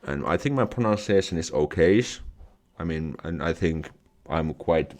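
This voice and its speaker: A man's voice: low-pitched, animated, measured pace, darkish tone. A young man from Finland with a Finnish accent, chatting on a live stream as host, reading comments aloud, laughing things off and restarting words often. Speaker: YouTube host.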